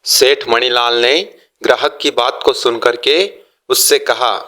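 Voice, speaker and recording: A man narrating a scripted moral story for children, deliberately, phrase by phrase. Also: medium pitch, steady tone, pushed and bright.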